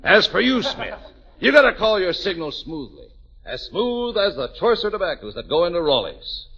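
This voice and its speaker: A man's voice: high voice, big pitch swings, normal speed, bright, forceful tone, heavily acted. A man playing a blustering football coach giving an emphatic pep talk in a 1940s radio comedy advertisement. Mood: scolding. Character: blustering football coach hectoring his team, pitching cigarettes. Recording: muffled husky-sounding 1940s radio recording.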